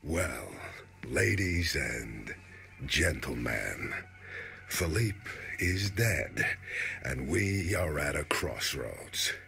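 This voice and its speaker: An actor playing a menacing wrestler crime boss with a grandiose, theatrical way of talking and an explosive temper, in a video game. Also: male voice, rumbling low, big pitch swings, extremely slow, vocal fry, full character voice. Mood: smooth menace. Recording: loud music behind dialogue.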